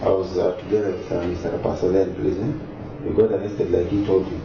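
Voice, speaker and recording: A man with a very low voice answers questions calmly, pausing and filling gaps as he searches for words. He sounds far from the mic in an echoey space, with clear background noise over dull, lo-fi sound. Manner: hesitant, many fillers, calm. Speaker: interviewee. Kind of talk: casual interview answers. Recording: distant echoey lo-fi recording with noise.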